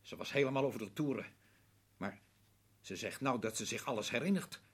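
A man playing an uneasy, deferential veteran prison guard in a Dutch radio drama, hesitant and husky. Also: medium pitch, brisk, lightly acted. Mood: shaken, apologetic. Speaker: actor in a radio play.

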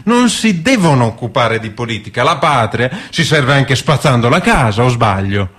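An actor playing a bullying Fascist interrogator in a radio drama, sarcastic and insinuating, needling with tag questions in speech. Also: male voice, medium pitch, very animated, normal speed, fairly bright tone, breathy edge. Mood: pressing, mocking.

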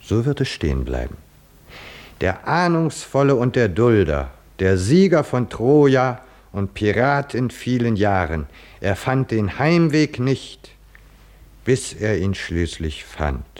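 An actor playing a short-sighted, mocking tavern philosopher in a 1950s German radio drama, with sardonic, deliberate pronouncements. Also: male voice, medium pitch, sing-song, darkish tone. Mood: ironic, musing. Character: short-sighted, mocking male tavern drinker who philosophizes about kings.

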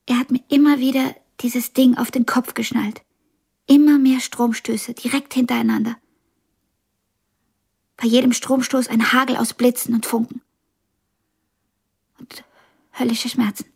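An actress in a radio drama playing a blind young pianist, wounded yet ambitious and frank, with a halting, intense delivery. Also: female voice, fairly high pitch, little pitch movement, gravelly, darkish tone, breathy edge, exaggerated voice. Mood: suffering.

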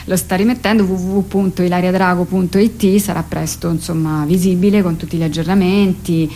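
A woman's voice: on the low side, steady tone, brisk, mellow, slight background noise. A woman speaking reflectively as a theatre artist in a radio interview, hesitant and searching for her words. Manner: hesitant, searching, thinking aloud. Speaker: guest, theatre artist and author.

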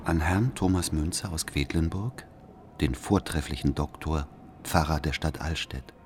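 An actor playing a solemn, scheming letter writer from sixteenth-century Germany, speaking in formal, measured rhetoric. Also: male voice, a bass voice, noisy background. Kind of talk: literary radio drama monologue, reading a letter.

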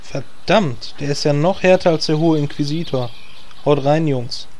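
A man's voice: a little high, normal speed, darkish tone, close to an everyday voice. A man voicing a pompous male wizard in a comedic fan audio drama, talking in a fussy, know-it-all way.

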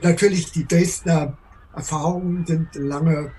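A man's voice: on the high side, steady tone, measured pace, warm tone, slight background noise. An elderly man from Germany speaking reflectively as an interviewee.

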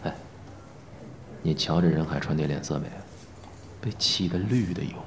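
A man's voice: rumbling low, normal speed, gravelly, slight background noise. A man playing a teasing, cocky, easygoing film actor in an audio drama, with a playful drawl. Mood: gossipy, amused. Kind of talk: Chinese audio drama acting.

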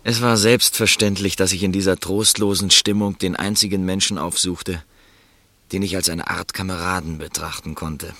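A man narrating a radio play in first person, calm and reflective. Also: deep voice, normal speed.

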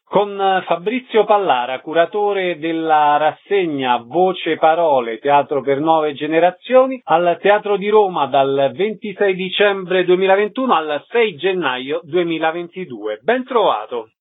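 High-voiced male radio interviewer. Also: expressive, measured pace, hardly any pauses, narrow, tinny audio. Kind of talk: radio interview introduction and questions.

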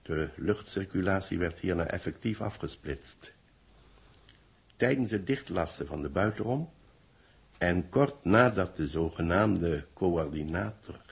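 Deep, gravelly, solemn official. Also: male voice, heavily acted. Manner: solemn, measured. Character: grave, weary male official announcing tragedy to a doomed world. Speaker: radio drama actor. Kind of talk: 1960s radio drama acting, solemn announcement. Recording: vintage 1960s radio drama recording.

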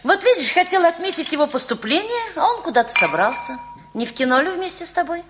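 A woman's voice: very high-pitched, sing-song, fast, background noise. A woman playing an anxious, prying middle-aged mother in a Russian radio play, coaxing and reproachful. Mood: probing, coaxing. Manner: coaxing, reproachful, insistent questioning.

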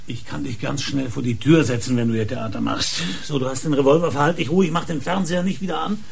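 Terse hard-boiled police inspector, highish voice. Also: male voice, quick pace, gravelly, breathy edge. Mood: curt, stern. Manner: terse, urgent, giving orders. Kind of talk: radio crime drama acting.

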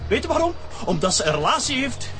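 Bitter cornered flemish fugitive, high voice, desperate, radio play with music. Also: male voice, very animated, fast. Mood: bitter, agitated. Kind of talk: emotional radio drama acting. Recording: background music under radio drama dialogue.

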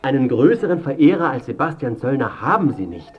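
A man's voice: mid-range voice, big pitch swings, brisk, narrow, tinny audio, soft background music. An actor playing a smug, calculating journalist in his thirties, narrating and talking with dry self-importance in a radio drama. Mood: ingratiating. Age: 30s. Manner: self-important, smooth-tongued, dryly cynical. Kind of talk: first-person narration and dialogue in radio drama.

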